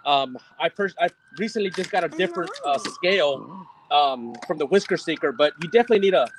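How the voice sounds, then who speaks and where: A rambling man walks through his fishing gear on a video call, full of "um"s and loose swearing. His voice runs high for a man, with faint background noise around him. He talks from outdoors at night into a phone. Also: expressive, fairly quick. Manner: rambling, many fillers, swears casually. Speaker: guest angler. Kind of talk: casual gear walkthrough.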